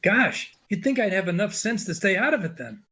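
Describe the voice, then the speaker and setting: An actor playing a naive, eager young male sidekick in 1940s radio comedy, with a high, husky voice. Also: very animated, a little fast, pushed and bright, exaggerated voice. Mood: sheepish.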